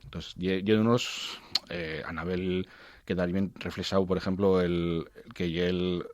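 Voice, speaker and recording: A man with an Asturian accent presenting music in informal radio talk, halting and repeating words as he searches for the next one. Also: sits low, fairly even intonation, a little mellow, dry recording. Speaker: guest music commentator on a radio show.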